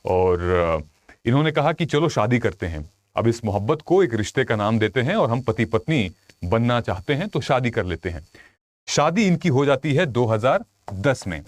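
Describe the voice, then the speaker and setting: A man's voice: mid-range voice, sing-song, normal speed. A man giving an informal explainer to viewers as a coach and host, telling it conversationally with frequent check-in tags. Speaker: UPSC exam coach and video host.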